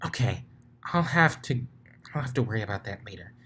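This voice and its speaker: A man's voice: fairly low pitch, animated, a little fast, fairly bright tone, slight background noise. A man voicing a bookish, easily exasperated female unicorn in a storybook reading, explaining things huffily.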